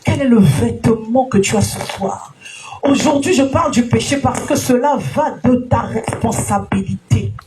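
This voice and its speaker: A woman preacher delivering a sermon in an emphatic, sing-song manner, rising to shouts and calling out alleluia between questions to the congregation. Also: on the high side, moderate pace, soft background music, poor audio. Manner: frequent alleluias and direct questions to listeners. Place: church gathering.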